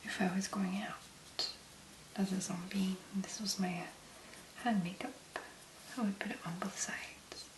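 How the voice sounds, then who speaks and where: A woman giving a soft-spoken ASMR make-up tutorial, mostly in a breathy whisper, murmuring unhurriedly in short phrases. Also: mid-range voice, flat delivery, measured pace, pauses often, roomy sound, rough recording. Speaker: ASMR host.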